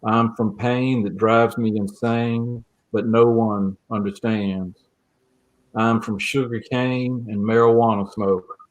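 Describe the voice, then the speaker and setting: A man speaking as an interview guest, reflective and measured, with a gentle, earnest manner. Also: fairly low pitch, fairly even intonation. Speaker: guest, creative writing teacher. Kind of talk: reflective interview talk and poem reading.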